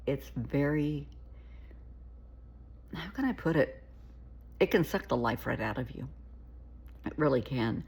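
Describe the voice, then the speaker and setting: A woman therapist giving casual, earnest advice to camera, her voice gravelly and shaky from an oncoming cold, often pleading and repeating herself. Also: extremely low pitch, lively intonation, unhurried, pauses often, darkish tone. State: getting a cold.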